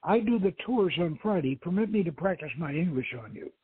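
A man's voice: high voice, lively intonation, a little fast, slightly rough, full character voice. A man voicing a polite, eager young female museum guide with a formal, explanatory manner. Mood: welcoming. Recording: tinny band-limited radio stream, roomy echo.

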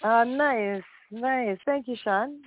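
A woman's voice: on the high side, slow, slight background noise. Bubbly woman singer on a tinny phone line. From Jamaica. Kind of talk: casual radio interview by phone. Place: home in Toronto. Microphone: phone call.

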